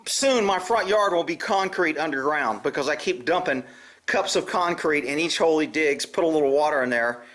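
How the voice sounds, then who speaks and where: High-pitched American man in an echoey room. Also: animated, normal speed, a bit bright. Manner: rambling, blunt, folksy with mild cursing. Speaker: video channel host. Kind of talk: casual vlog chat. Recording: roomy, echoey sound.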